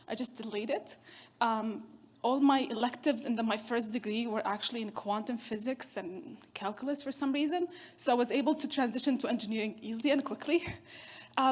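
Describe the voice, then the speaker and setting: Chatty keynote woman, higher voice. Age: 30s. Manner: chatty, storytelling with self-deprecating humor. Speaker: keynote speaker. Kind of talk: conference keynote talk.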